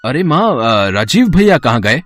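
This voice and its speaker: A man voicing earnest, caring young men of a troubled family in an animated melodrama. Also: medium pitch, a little slow, very noisy. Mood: concerned.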